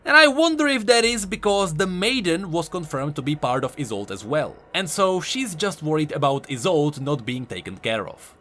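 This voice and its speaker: A man's voice: fairly high pitch, lively intonation, normal speed, few pauses, sharp tone, slight background noise. A man doing an enthusiastic, conversational voice-over commentary as a video host. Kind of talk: game lore commentary voice-over.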